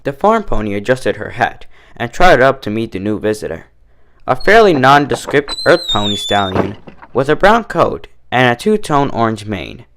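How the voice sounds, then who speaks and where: A man reads a fan story aloud. His voice sits at a middle pitch and moves with animated intonation. The delivery is expressive storybook narration. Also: moderate pace, background noise. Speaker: fan fiction reader and narrator.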